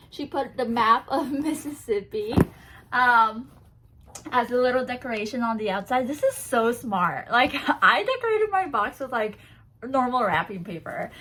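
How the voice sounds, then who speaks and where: A woman's voice: a little high, fairly bright tone, slight background noise. A woman chatting casually to camera as she unboxes a gift box, bubbly and giggly, seated on a bouncy ball at home. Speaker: vlogger unboxing a swap box.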